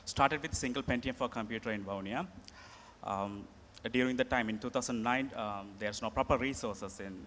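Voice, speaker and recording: A man's voice: mid-range voice, fairly bright tone. A man speaking earnestly as an entrepreneur on a conference panel. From Sri Lanka. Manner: earnest, plain halting phrasing. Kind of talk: panel talk. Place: large conference hall. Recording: echoey hall, faint room noise.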